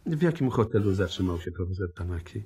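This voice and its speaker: An actor playing a probing, politely insistent police inspector in a radio play, formal and dry in manner. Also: male voice, low voice, animated, fast talker, warm tone. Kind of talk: 1960s Polish radio play acting.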